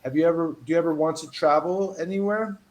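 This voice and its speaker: A man teaching a one-on-one English lesson over a video call, patient and encouraging, reading model phrases with lively emphasis. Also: a little high, quick pace. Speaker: English tutor.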